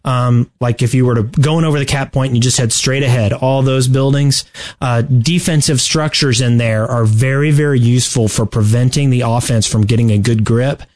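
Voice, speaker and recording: Male co-host, mid-range voice, casual gaming talk, halting and deliberate delivery. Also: medium pitch, steady tone, darkish tone. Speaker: podcast co-host. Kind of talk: casual video game discussion.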